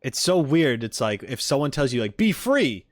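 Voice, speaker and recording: Male streamer, animated and swooping in pitch, rambling casual chat, joking manner, close dry sound. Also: normal speed, no room sound. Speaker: streamer reacting to game videos.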